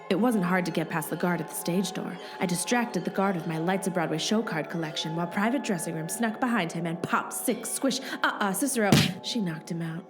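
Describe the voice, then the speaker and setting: A woman's voice: on the low side, brisk, few pauses, natural voice. A woman acting as a scatterbrained narrator on a secret mission in a radio play, chatty and comic. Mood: hushed storytelling. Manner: chatty, comic, self-interrupting. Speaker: narrator and lead actor. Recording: music behind the voice. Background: music.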